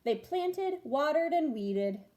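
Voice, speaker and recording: A woman reading a children's story aloud in an expressive, deliberate way, like a teacher with pupils. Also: high-pitched.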